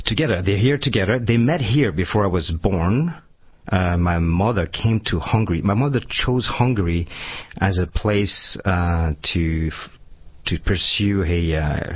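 A man in his forties who grew up in Madagascar with French as his first language, talking casually as a studio guest and often pausing to search for words. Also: deep voice, slightly rough, muffled recording. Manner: rambling, searching for words, many fillers. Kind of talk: casual chat.

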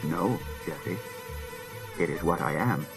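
A man voicing an unemotional humanlike robot detective, murmuring softly in a measured, polite way in an audiobook. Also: creaky, faint music behind, heavily acted. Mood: soft, impassive. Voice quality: low, soft murmur.

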